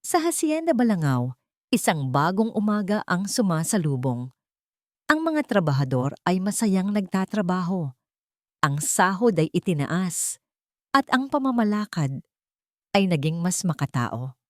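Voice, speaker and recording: Female storyteller for audio drama, low and lively, dry booth sound. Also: dry recording. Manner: measured, dramatic pacing with expressive rises. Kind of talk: scripted dramatic narration.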